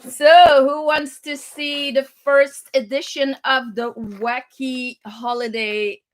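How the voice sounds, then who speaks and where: Slow-talking higher-pitched woman, playful chat. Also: little pitch movement, poor audio. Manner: unhurried, halting, searching for English words; playful, giggly. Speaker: craft live-stream host. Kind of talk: casual live-stream chat.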